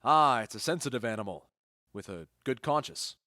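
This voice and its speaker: A man plays an indulgent, witty nobleman in a comedy audio play. His mid-pitched voice moves in lively swings, and he comments with wry amusement. The recording is dry. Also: normal speed, dry recording. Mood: wry, amused. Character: witty, indulgent duke watching an amateur play. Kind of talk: modernized Shakespeare audio drama comedy.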